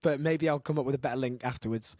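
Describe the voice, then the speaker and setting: A man in his thirties hosting a live chat show, with a husky voice and a rambling, self-mocking way of talking. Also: medium pitch, quick pace, a little mellow. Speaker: host.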